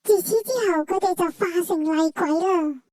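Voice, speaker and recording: A woman's voice: very high voice, steady tone, normal speed, a little mellow, dry recording. A woman voicing a cute, cheeky, self-important cartoon cat that talks childishly and theatrically in Hong Kong Cantonese for a travel vlog. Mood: boastful. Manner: childish, theatrical, refers to itself by name.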